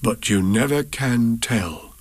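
A man voicing a slow, dreamy, honey-loving male bear who muses aloud in a drawn-out way during a storybook reading. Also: mid-range voice, no room sound. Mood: musing.

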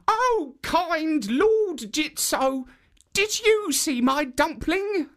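A storyteller voicing a foolish, cheerful old woman who calls out and giggles in a very high, sing-song voice. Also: lively intonation, drawn-out words, a bit bright, full character voice. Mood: pleading. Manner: shrill, sing-song cries. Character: giggling old woman chasing her dumpling.

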